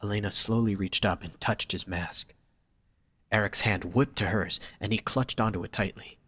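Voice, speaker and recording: Husky, forceful male story narrator. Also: fairly low pitch, fairly even intonation, moderate pace. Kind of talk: dramatic scripted story narration.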